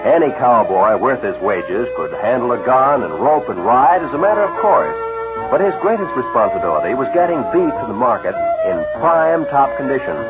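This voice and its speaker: Animated male 1940s radio pitchman. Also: moderate pace, loud music behind the voice. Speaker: commercial announcer. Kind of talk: 1940s radio advertising read. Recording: dull 1940s radio recording.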